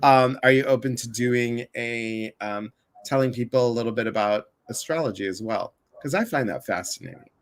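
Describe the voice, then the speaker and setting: A man's voice: mid-range voice, moderate pace. Chatty man hosting a livestream. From Chicago area. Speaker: livestream host. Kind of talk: casual conversational livestream.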